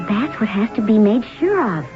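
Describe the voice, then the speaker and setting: An actress playing a cool, calculating lover and co-conspirator in a 1970s radio drama, worldly and cautioning. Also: female voice, medium pitch, animated, fairly quick, natural voice. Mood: firm, cautioning. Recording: dull vintage radio broadcast with music.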